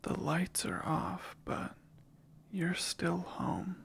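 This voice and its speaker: A man voicing a possessive male sleep paralysis demon in an ASMR roleplay, whispering slowly and teasingly in a gravelly, breathy voice. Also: sits high, flat delivery, heavily acted. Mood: hushed, eerie. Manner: hushed close whispering, slow and teasing.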